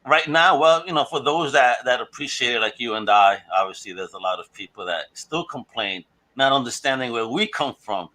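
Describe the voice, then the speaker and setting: Man, podcast host, bright tense voice, jokey, teasing, quick to laugh. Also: medium pitch, expressive, poor audio. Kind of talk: casual podcast interview.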